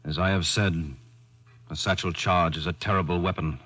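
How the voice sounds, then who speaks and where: A man's voice: deep voice, steady tone, moderate pace, fairly bright tone. An actor playing a grave, resolute commando, telling his war story in dramatic 1940s radio style. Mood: grim. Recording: old 1940s radio recording.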